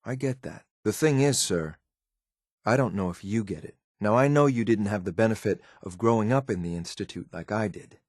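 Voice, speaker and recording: An actor playing a stern, loyal synthetic agent in a video game, speaking in a clipped, formal, deadpan military way. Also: male voice, lowish voice, moderate pace, dry recording, studio quality, lightly acted. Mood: restrained frustration. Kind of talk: scripted game character lines.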